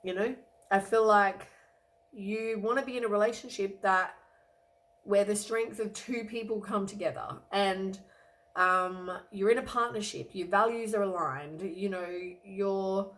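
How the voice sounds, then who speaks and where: A woman with a croaky voice from a cold, giving a relaxed, rambling tarot reading to the camera with lots of ums. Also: mid-range voice, unhurried. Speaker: tarot reader.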